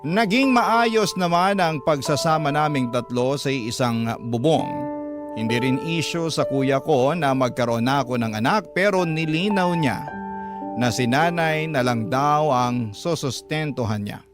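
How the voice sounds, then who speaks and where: A man's voice: a little high, lively intonation, measured pace. Earnest young man over guitar music. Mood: earnest, reflective. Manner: sincere, confiding. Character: earnest young man confiding his life story. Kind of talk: scripted dramatic narration, Filipino radio drama.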